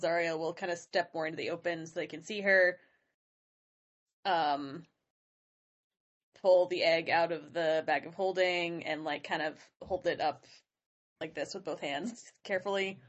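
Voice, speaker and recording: A woman playing in a tabletop game, chatting and laughing often as she describes her moves. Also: fairly low pitch. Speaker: player. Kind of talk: casual game chat. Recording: dull lo-fi sound.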